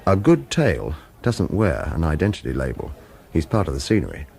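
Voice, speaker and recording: A man's voice: a bass voice, wildly swooping pitch, a little fast, gravelly, darkish tone, full character voice. An actor playing a wary hitman who narrates a radio drama and speaks protectively, with hesitant reassurance. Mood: brooding, watchful. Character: wary male hitman narrator, protective of his lover, evasive. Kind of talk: radio drama acting with first-person noir narration.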